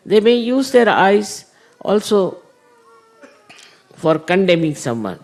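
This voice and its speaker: A middle-aged man speaks slowly in a raised, gravelly voice. His spiritual talk rises and falls in lively swings of pitch. He explains unhurriedly, pausing often on "uh". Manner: unhurried, explanatory, with many "uh" pauses. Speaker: spiritual teacher.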